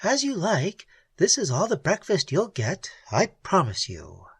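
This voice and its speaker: Guy doing a cool pirate lady, high and teasing, breathy, soft menace. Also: wildly swooping pitch, unhurried, dry recording, exaggerated voice. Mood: sweetly mocking. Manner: sweet, taunting, softly menacing. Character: cool mocking female space pirate queen.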